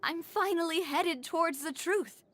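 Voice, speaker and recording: A woman's voice: extremely high pitch, a little slow, fairly bright tone, slight background noise. A woman playing a determined, grieving young noblewoman in a video game, speaking in an earnest, articulate and emotive way. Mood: thoughtful, hopeful. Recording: background music under dialogue.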